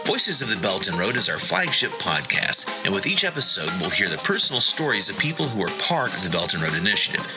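A man's voice: low voice, a little fast, hardly any pauses. A man reading a polished, scripted podcast introduction. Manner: lively, polished announcer read. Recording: music bed under voice.

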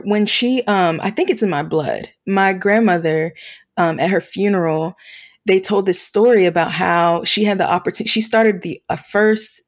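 A woman talking reflectively as an interview guest, in an earnest way that often halts mid-thought. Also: medium pitch. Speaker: podcast guest, nonprofit founder working with students.

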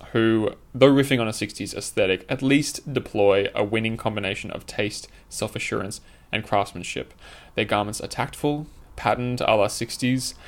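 A man with a mid-range voice narrates an essay he wrote himself. His delivery is scripted and formal, with literary phrasing. He states his opinions plainly, as an author reading his article aloud. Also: medium pitch.

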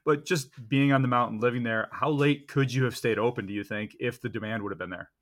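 A man's voice: mid-range voice, brisk. Curious male interview host. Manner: curious, asks long layered questions. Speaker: podcast host. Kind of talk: interviewing a guest.

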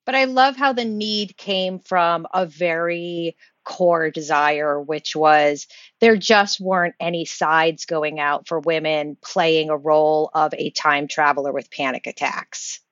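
A woman's voice: deep voice, slow pace, a bit bright, no room sound. A woman hosting an interview, speaking conversationally as she puts questions and shares her own thoughts. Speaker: podcast interviewer. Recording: slightly dull, dry remote audio.

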